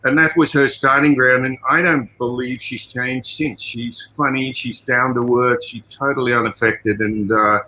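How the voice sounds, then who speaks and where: An older Australian man talks through a podcast interview with a bright, forceful voice, telling long stories with plenty of energy. His pitch sits in an ordinary middle range. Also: moderate pace, few pauses, low-quality recording. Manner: animated, expansive storyteller. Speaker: guest, martial arts trainer.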